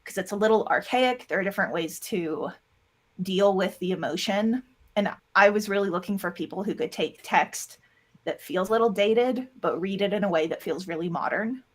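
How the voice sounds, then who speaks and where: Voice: mid-range voice, normal speed. Casual, rambling audio drama producer, feminine-sounding voice. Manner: rambling, with self-quoting asides. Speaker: interview guest, audio drama producer and voice actor. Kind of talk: casual explanatory interview talk.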